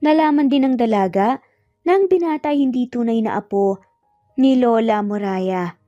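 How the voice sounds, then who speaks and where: A woman's voice: on the high side, normal speed, mellow, no echo. A woman with a Filipino accent reading a scripted dramatic story as a storyteller. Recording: dull lo-fi audio, music behind. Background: background music.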